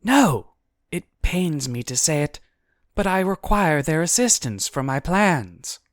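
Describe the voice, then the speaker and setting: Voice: mid-range voice, big pitch swings, slow, dry recording, clean studio sound, voice pushed up. A narrator voicing a young demon-born girl, eager and determined, with earnest, formal phrasing.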